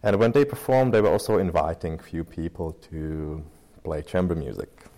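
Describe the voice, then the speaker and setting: Man, 30s, deep warm voice, relaxed rambling interview guest. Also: normal speed. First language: Czech. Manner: loose and chatty, many fillers and restarts. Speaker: interview guest, pianist and researcher. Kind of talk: casual interview talk.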